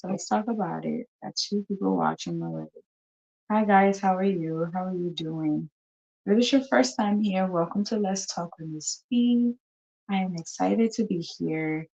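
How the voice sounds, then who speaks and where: Husky woman hosting a live show. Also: fairly low pitch, a little slow, a little mellow, highs cut off. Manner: chatty, repeats herself, greets viewers. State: has a cold, coughing. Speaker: host of a live talk show. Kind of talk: casual live-stream chat.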